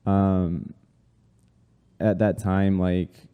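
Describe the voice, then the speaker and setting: A man in his thirties from Colombia, a guest telling his life story in a relaxed way, with many fillers. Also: sits low, extremely slow, smooth, in a large hall, phone-quality sound. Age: 30s. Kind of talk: casual interview conversation.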